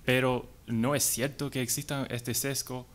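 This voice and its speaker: A man, an English speaker, giving a conference talk in halting, hesitant Spanish. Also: medium pitch, little pitch movement, fairly bright tone. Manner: halting, hesitant delivery in non-native Spanish. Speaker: conference panelist.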